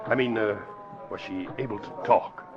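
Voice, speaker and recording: An actor playing a smooth, scheming, self-important crooked businessman in a 1940s radio drama. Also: lowish voice, normal speed, loud music behind the voice, close to an everyday voice. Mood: blustering, nervous. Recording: dull vintage radio broadcast.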